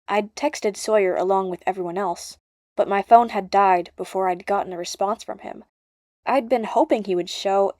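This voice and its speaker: A woman's voice: mid-range voice, darkish tone, no room sound, clean studio sound. A woman narrating a first-person story as an amateur audiobook reader.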